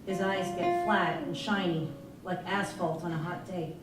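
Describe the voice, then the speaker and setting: A woman giving an expressive live reading of a first-person novel, narrating as a candid, troubled young girl. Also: fairly low pitch, measured pace. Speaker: reader at a live literary performance. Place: live performance in an arts venue. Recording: echoey live room, distant mic, music. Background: music playing, guitar.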